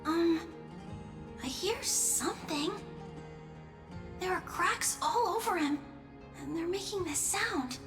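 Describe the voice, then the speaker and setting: Very high-pitched timid little girl. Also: animated, slow, long pauses, full character voice. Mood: frightened. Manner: hesitant, wondering, naive. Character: small innocent girl, curious and timid. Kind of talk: scripted video game drama acting. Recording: echoey, music under the voice.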